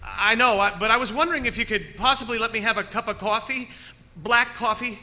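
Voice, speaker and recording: A man's voice: high voice, pushed and bright. Reverberant, haunted male motorist, acted by a man. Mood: nervous, pleading. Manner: dramatic, halting, earnest. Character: haunted, anxious male motorist telling his frightening tale. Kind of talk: old-time radio drama acting and narration. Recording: long reverb, music underneath.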